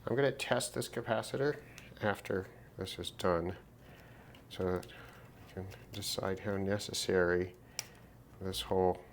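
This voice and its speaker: A man casually narrating a hands-on repair demonstration, thinking aloud in an unhurried way as he works. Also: deep voice, fairly even intonation, creaky, a little hiss or hum. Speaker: repair video host.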